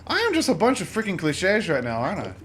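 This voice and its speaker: A man's voice: high voice, wildly swooping pitch, fast talker, a bit bright, slight background noise. A man hosting a let's play with casual, rambling gameplay commentary, often interrupting himself with joking asides. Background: video game music.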